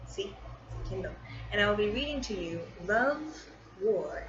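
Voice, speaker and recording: A woman's voice: mid-range voice, animated, normal speed, fairly bright tone. A woman vlogging casually from her bedroom, rambling in a scattered, informal and self-deprecating way. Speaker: vlogger and amateur short story writer. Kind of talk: casual rambling vlog chat. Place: small bedroom at home, indoors. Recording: muffled, noisy room with fan running. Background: running fan noise.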